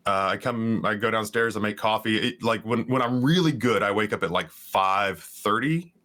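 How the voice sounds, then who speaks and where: Bright-toned baritone man, rambling chat. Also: moderate pace. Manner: rambling, self-deprecating storytelling. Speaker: streamer guest. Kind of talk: casual podcast chat.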